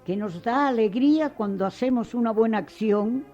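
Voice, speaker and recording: An actress playing a kind, devout, motherly schoolteacher in a radio drama, speaking with measured, formal compassion. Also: a little high, mellow, highs cut off, faint music behind, lightly acted. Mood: compassionate, solemn. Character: kind, devout, motherly schoolteacher giving gentle moral counsel.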